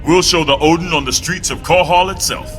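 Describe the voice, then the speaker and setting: An actor playing an assured military general giving an official, authoritative interview in a video game. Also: male voice, on the high side, fairly even intonation, fairly quick, fairly bright tone, close to an everyday voice. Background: music.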